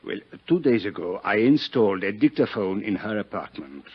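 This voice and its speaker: An actor playing an urbane, wry French police inspector with a husky voice and elaborate politeness, in a 1950s radio drama. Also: male voice, lowish voice, sing-song, normal speed. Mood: sly, courteous. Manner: suave, droll, elaborately polite. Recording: dull, lo-fi 1950s radio recording.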